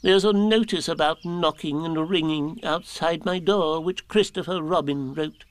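A man's voice: a little high, measured pace, voice pushed up, close to an everyday voice. A man voicing a pompous old male owl who shows off what he knows, in a storybook read-aloud. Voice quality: fussy, self-important.

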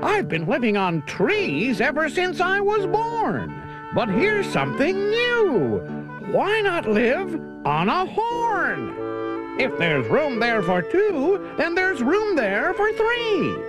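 A cheeky little songbird, voiced by the storyteller chirps in a very high voice. It swoops all over its range. Music plays behind. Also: huge pitch swings, takes it slowly, full character voice. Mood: chirpy.

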